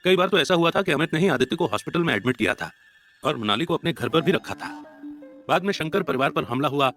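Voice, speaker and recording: A man's voice: fairly high pitch, lively intonation, fast, a bit bright. A man narrating a scripted dramatic story as an audio storyteller. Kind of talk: scripted dramatic story narration in Hindi mixed with English words. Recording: narration over background music. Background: background music.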